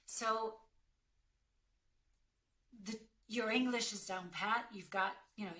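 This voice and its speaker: A woman with a bright, forceful voice of average pitch talks as an acting coach in a question-and-answer conversation. She is direct and emphatic, laying out her advice plainly. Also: takes it slowly. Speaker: acting career coach and working actor.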